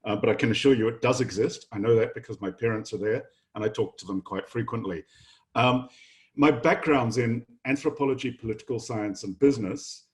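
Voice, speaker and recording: A middle-aged man from New Zealand, a professor, giving a measured, earnest welcome address to new students in an online session. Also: medium pitch. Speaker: professor and incoming programme director. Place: home office, online session.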